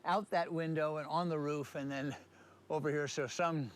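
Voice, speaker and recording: Chatty older man, slightly high voice. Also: fairly even intonation, normal speed. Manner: chatty, folksy. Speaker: movie theater owner being interviewed. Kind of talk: casual on-location interview. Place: inside a small movie theater building. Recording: faint background noise, on location.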